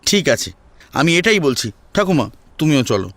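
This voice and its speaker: Man acting an animated, insistent young man. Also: fairly high pitch, moderate pace, dry recording. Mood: eager. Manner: insistent, playful, emotional. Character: eager, teasing, hot-headed young grandson and devoted friend. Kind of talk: Bengali audio story acting.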